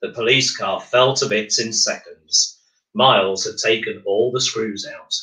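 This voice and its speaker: A man narrating a children's storybook in a measured, expressive way. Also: fairly low pitch. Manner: measured, expressive, clearly phrased. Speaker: bedtime story reader. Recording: roomy, echoing room.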